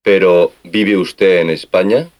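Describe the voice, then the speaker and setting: A man's voice: sits low, fairly even intonation, slow. A man playing a polite customs officer who asks formal questions in a scripted language-lesson dialogue.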